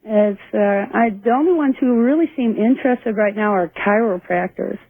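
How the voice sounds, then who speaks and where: A woman's voice: mid-range voice, normal speed, dry recording. An American woman calling in to a radio show, chatting casually. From Montana, United States. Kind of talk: casual call-in chat. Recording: thin dry phone line on radio.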